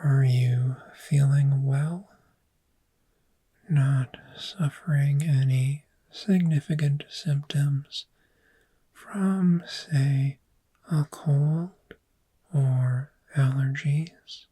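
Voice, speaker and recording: Casting: woman to play a calm dragon therapist for ASMR roleplay; mellow, whispery, extremely slow and measured delivery, reassuring. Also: very deep voice, exaggerated voice. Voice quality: breathy, whispery. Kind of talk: soft-spoken ASMR roleplay.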